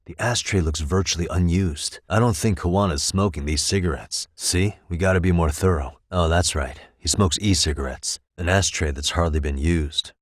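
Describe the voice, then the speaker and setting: A level-headed private detective from a video game, speaks in a low voice. He is sharp and wry, with a slightly husky edge. The sound is dry and free of room echo. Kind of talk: English game voice acting, detective dialogue.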